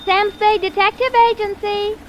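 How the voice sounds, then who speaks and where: An American actress playing a sweet, nosy young secretary in a 1940s radio drama, fussing and questioning expressively. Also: female voice, very high-pitched, steady tone, moderate pace, highs cut off. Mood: curious, exasperated. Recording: noisy old 1940s radio recording.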